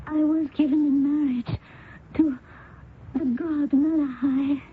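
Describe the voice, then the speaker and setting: Dying young princess, weak and hushed, high pitched, old broadcast drama, tinny with faint noise. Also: female voice, fairly even intonation, takes it slowly. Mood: fading, devoted. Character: dying young Indian princess, devoted wife. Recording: old radio broadcast, phone-like band with faint hiss.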